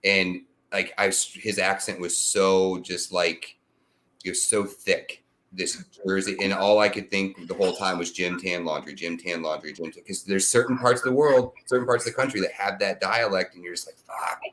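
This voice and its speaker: A man from the American Midwest hosting a casual chat, telling drawn-out stories in a laid-back way with some swearing. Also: low-pitched, steady tone, fairly bright tone, slight background noise. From American Midwest, born in Tennessee. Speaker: host.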